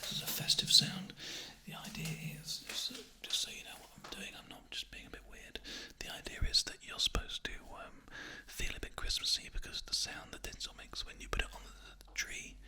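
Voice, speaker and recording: A man's voice: a little fast, few pauses, roomy sound. Whispery, hesitant man. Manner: awkward, hesitant, apologetic, deadpan. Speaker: ASMR roleplay performer, playing a festivity assessor. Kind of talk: soft-spoken ASMR roleplay, slipping into whispers. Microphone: binaural ASMR microphone up close.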